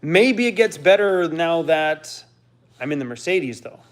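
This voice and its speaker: A man's voice: animated, a little slow. A man in his fifties casually hosting a live stream and reading out viewer comments. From Canada. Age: 50s. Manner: rambling, talks to his dog in a high coaxing voice.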